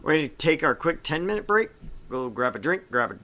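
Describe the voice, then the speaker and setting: Animated, bright-voiced man hosting a game. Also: on the high side, a little fast. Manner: goofy, jokey, switches between reading and banter. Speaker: gaming show host and reader. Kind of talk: casual visual novel playthrough, reading aloud and joking.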